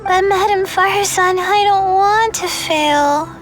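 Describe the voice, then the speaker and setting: A woman's voice: very high-pitched, slow pace, soft background music. A woman voicing a timid, anxious young female student in a video game, hesitant and meek, with stammered starts. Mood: nervous. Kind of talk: scripted video game character acting.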